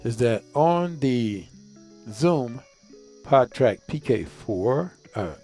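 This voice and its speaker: A man's voice: medium pitch, lively intonation, very slow, warm tone, faint music behind. A man giving a casual step-by-step tutorial as a video creator, rambling and often repeating himself.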